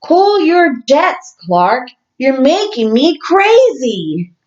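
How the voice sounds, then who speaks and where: A woman's voice: high voice, very animated, extremely slow, a little mellow, far from the mic, lo-fi sound, voice pushed up, heavily acted. A woman voicing a jittery young male fish friend in a storybook, squealing and alarmed. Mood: exasperated.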